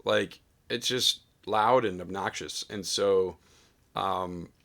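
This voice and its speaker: A man's voice: low voice, slow, gravelly. Rambling man in his home studio. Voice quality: big loud voice. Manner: rambling, self-deprecating, full of fillers. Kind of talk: casual vlog talk between sung takes. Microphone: studio dynamic mic.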